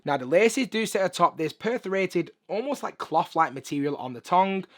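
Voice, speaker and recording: Hoarse man reviewing sneakers. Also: sits high, animated, brisk, fairly bright tone. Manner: chatty, upbeat, lots of filler phrases. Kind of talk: casual video review. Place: room with shoe collection.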